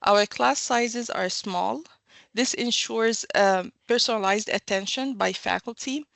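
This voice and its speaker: Woman academic, gravelly voice, dry close mic, measured interview answers. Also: on the high side, fairly bright tone, dry recording. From Egypt. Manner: measured, explanatory, with fillers and self-corrections. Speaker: university academic guest.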